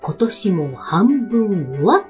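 A woman's voice: medium pitch, very animated, slow, soft background music. A woman presenting a web radio show with scripted, polite and playful greetings and announcements.

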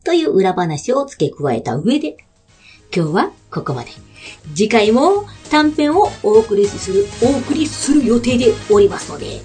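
A woman's voice: fairly high pitch, very animated, normal speed, a little mellow, soft background music. A woman hosting an online radio show with casual, teasing, giggly banter.

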